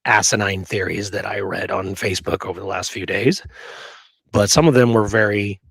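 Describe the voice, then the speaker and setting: A man's voice: on the low side, normal speed, creaky, fairly bright tone, slightly rough, dry recording. Man chatting emphatically, close dry sound. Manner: emphatic, rhetorical check-ins like "right?" and "okay?". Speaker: podcast co-host. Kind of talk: casual conversational discussion.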